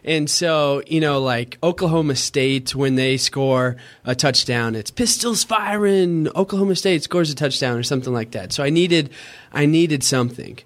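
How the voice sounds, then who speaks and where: A young man with a high, squeaky voice co-hosting a casual fantasy sports podcast, excitable and jokey. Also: moderate pace. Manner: excitable, cheers at the game, jokey. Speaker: podcast co-host.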